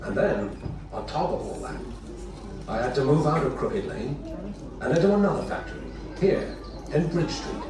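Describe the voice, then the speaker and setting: A man's voice: a little high, big pitch swings, moderate pace, pauses often, distant mic, background noise. An actor playing an earnest young Quaker chocolate maker, telling his own story in a scripted exhibit monologue. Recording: echoey exhibit audio played from off.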